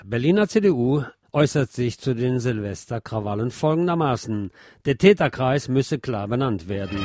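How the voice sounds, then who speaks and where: A man presents written news articles, reading them aloud. His voice sits at a middle pitch. Faint music plays behind the scripted commentary.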